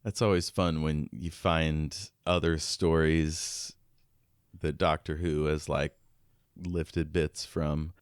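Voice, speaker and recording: Deep, smooth-voiced man chatting casually. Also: little pitch movement, slow pace, no echo, studio quality. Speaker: podcast co-host. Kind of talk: casual sci-fi fan chat.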